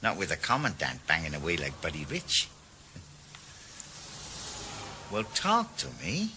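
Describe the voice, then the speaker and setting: Ex-detective, dry, sardonic, lively pitch, 1980s radio drama. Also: male voice, a little fast, background noise. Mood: weary, rueful. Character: retired ex-detective, wry middle-aged Welshman. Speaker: private investigator.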